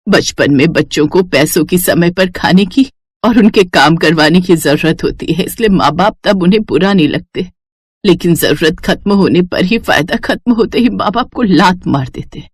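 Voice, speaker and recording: A woman playing a wronged, bitter elderly mother, lively pitch. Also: normal speed, husky, no room sound, studio quality. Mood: bitter, resolute. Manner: reproachful, sorrowful, lecturing.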